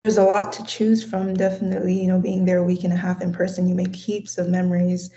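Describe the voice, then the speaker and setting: Woman, low mellow voice, earnest and fond, dull lo-fi sound. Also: monotone, fast talker. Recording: dull lo-fi audio.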